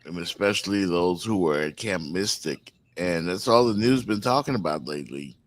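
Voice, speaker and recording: Deep-voiced man, casual morning show co-host. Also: animated, a little fast, dry recording. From Bahamas.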